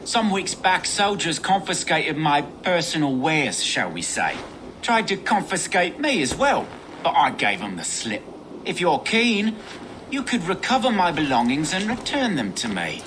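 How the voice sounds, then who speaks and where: A crafty older bandit boss from a video game. His voice sits fairly high and swings widely as he taunts with theatrical, false warmth. Outdoor ambience is clearly audible behind him. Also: very animated, a little slow, slight background noise, low-quality recording. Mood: sly bargaining. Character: sly older male bandit gang boss, smooth and mocking. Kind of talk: video game cutscene acting.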